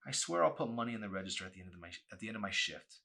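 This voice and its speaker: A man's voice: fairly low pitch, expressive, rapid-fire, creaky, bright, forceful tone, full character voice. A man voicing an awkward, defensive teenage boy in a novel reading, fumbling and hesitant. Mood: pleading, bashful.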